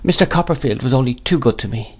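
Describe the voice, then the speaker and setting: A man's voice: medium pitch, expressive, fast talker, a little mellow, slightly rough. A man voicing a meek, fragile, anxious young mother in an audiobook, timid and faltering. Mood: gentle. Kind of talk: theatrical audiobook character voice.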